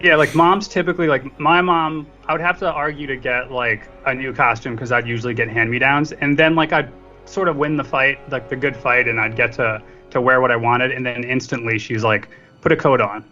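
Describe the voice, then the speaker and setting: A man's voice: mid-range voice, steady tone, fairly quick, sharp tone. A man in a casual film discussion, talking in a joking, self-deprecating way. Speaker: podcast guest co-reviewer. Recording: dull lo-fi audio, faint music.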